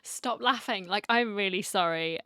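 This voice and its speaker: Woman gamer chatting casually while playing, bright crisp tone, super dry mic, sympathetic. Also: medium pitch, normal speed, no room sound. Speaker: streamer reacting to a game.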